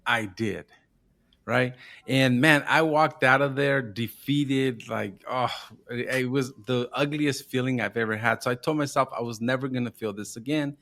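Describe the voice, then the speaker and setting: A man's voice: mid-range voice, a bit bright. Casual Southern Californian man, guest. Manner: informal, often adds "right?" and "man". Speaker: podcast guest, marketing founder. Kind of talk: casual interview chat.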